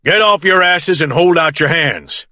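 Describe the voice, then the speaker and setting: A man voicing a hot-tempered, physically huge male sheriff who barks his orders in a coarse manner and drops to a soft menace when he threatens someone. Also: mid-range voice, normal speed, no room sound, clean studio sound, close to an everyday voice. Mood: barking, loud.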